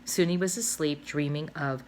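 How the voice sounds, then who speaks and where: A woman teacher reading a children's novel aloud, expressive, with little asides and self-corrections. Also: low voice, moderate pace, slight background noise.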